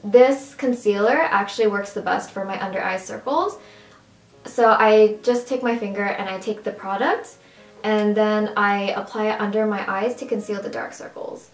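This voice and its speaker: A young woman with a raspy, strained voice chatting casually through a makeup tutorial at home. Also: medium pitch, normal speed, echoey room. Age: young adult. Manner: chatty, upbeat, casual with fillers. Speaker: beauty vlogger. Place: small room at home, indoors.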